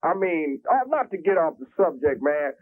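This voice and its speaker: A man's voice: fairly high pitch, sing-song, normal speed. A man from Jamaica who calls in to a public access show and talks in a profane, boastful, rambling way. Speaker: caller. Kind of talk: crude phone-in rant. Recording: tinny phone line.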